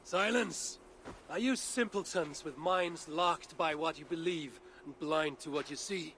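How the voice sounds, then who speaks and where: An actor playing a self-assured, stern and wry Norse god with a gravelly voice, in a video game cutscene. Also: male voice, on the high side, unhurried, fairly bright tone, slight background noise. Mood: stern, commanding.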